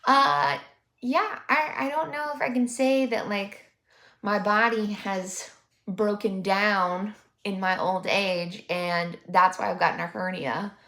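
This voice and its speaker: A woman's voice: mid-range voice, slow pace, bright, forceful tone. Young woman reaction host, sarcastic and mocking, chatty, roomy echoey sound. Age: 20s. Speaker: commentary YouTuber. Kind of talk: reaction commentary on a vlog clip. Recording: roomy echo.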